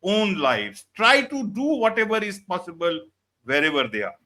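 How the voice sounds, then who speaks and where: Bright-voiced male interview host. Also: high voice, sing-song, normal speed. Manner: formal, scripted intro, then loose questions with "you know". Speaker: show host. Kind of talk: hosted online interview.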